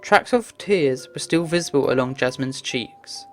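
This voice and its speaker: A woman's voice: a bass voice, expressive, measured pace. A woman narrating a visual novel as a read-aloud story, voicing the dialogue herself. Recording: music behind the voice. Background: music.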